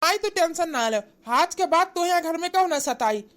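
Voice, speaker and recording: A woman's voice: extremely high pitch, lively intonation, moderate pace, a bit bright. A woman voicing an angry, callous village husband in a cartoon comedy, hectoring in an Awadhi accent. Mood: resentful. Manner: hectoring, repetitive scolding.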